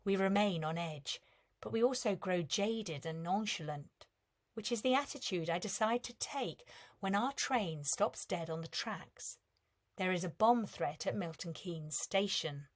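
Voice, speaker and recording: A woman in her thirties reading a reflective piece of prose in a measured, calm way, softening at the ends of lines. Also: medium pitch. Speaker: reader of a competition entry.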